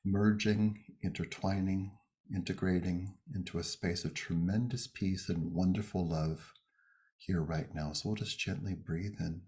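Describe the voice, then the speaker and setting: A man leading a guided meditation and prayer, speaking gently and unhurriedly in short phrases with pauses between them. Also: sits low, steady tone.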